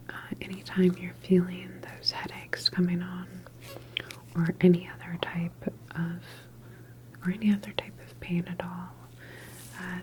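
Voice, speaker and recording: A woman whispering softly and breathily in an ASMR medical roleplay as a calm, reassuring doctor examining a patient. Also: fairly low pitch, a little hiss or hum, poor audio. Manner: gentle, unhurried, reassuring bedside manner. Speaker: ASMR performer playing a government facility doctor.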